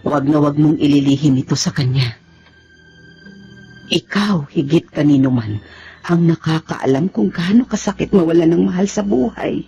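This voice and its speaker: An actress playing a concerned, firm confidante in a radio drama, speaking in an earnest, admonishing way. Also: female voice, deep voice, moderate pace, dark tone. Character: concerned, firm, God-fearing confidante of an ailing woman. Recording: dull lo-fi radio drama with background music.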